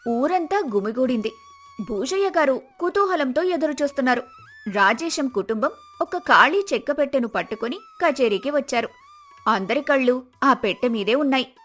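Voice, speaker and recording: Higher-pitched woman narrating a moral story. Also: expressive, moderate pace, a bit bright, soft background music.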